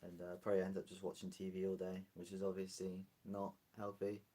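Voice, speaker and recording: A British man talks casually on a livestream, rambling between topics as he answers viewer comments. His voice is slightly husky and sits fairly low. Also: completely flat delivery, brisk, warm tone. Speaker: live streamer.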